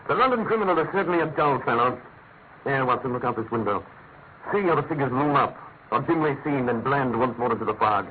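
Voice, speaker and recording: A man's voice: medium pitch, expressive, brisk, close to an everyday voice. Man playing a theatrical detective, swooping and tinny. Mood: bored, restless. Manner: articulate, declamatory, musing. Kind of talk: classic British radio drama acting. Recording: tinny narrow-band radio recording with hiss.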